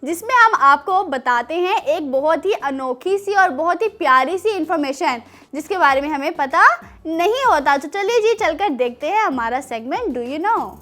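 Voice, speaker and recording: A woman's voice: high voice, expressive, normal speed, sharp tone. A young woman with a Pakistani accent, presenting and telling stories expressively as host of a children's show. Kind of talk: animated storytelling and presenting for children.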